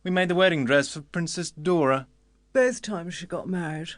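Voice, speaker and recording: A man acts out a sly storybook tailor, boasting in confiding sales patter. The voice sits a little high and is recorded very dry. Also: moderate pace, no room sound, close to an everyday voice. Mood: boastful, confiding.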